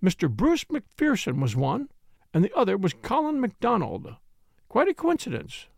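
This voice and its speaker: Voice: mid-range voice, wildly swooping pitch, normal speed, gravelly, no echo, studio quality, pitched above the performer's own voice, heavily acted. A reader voicing a fussy, tearful lady who talks in a fluttery, rambling, self-pitying way. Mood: aggrieved. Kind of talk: audiobook character reading.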